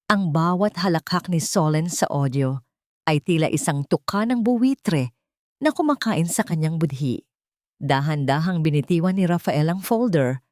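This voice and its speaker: A woman's voice: deep voice, expressive, moderate pace, darkish tone, dry recording, studio quality. A woman reading a scripted, dramatic audio story as its narrator.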